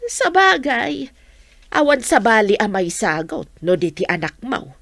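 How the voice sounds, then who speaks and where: A woman playing an expressive, conversational village mother in a Filipino comedy radio drama. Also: fairly high pitch, unhurried, no room sound, studio quality, full character voice. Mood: concerned. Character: village mother talking over her son's household.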